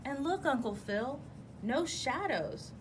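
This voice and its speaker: Stubborn young girl groundhog, played by a woman, eager, insistent, very animated, slow storybook delivery, faint noise. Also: high voice, pitched above the performer's own voice. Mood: insistent, excited.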